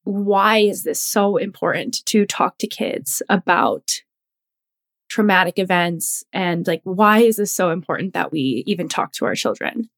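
A woman co-hosting a podcast who works in healthcare, speaking earnestly and haltingly as she searches for words. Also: lowish voice. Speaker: podcast co-host, healthcare worker. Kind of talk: casual podcast chat.

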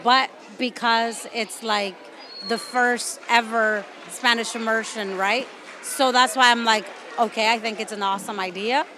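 A woman's voice: a little high, fairly even intonation, a little slow. Frank woman in a noisy crowd. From El Salvador. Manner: informal, frank. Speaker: parent being interviewed. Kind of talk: casual interview answers. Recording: noisy outdoor crowd recording.

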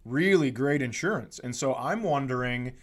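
A middle-aged American man chatting casually as a comedy podcast host, with an animated, profane, ranting manner. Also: mid-range voice, normal speed.

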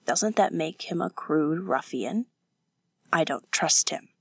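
A woman plays a prim, protective young woman in a novel, older than her years in manner. The voice is low and subdued, slow and reproving. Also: expressive, vocal fry, breathy edge, no echo, clean studio sound, heavily acted. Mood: scornful. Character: stern, proper, weary woman of twenty-four, protective and scornful.

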